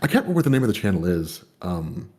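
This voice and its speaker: Low, mellow-voiced man chatting loosely. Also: sing-song, very fast. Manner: rambling, many fillers and "you know"; swears casually. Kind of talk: casual conversation.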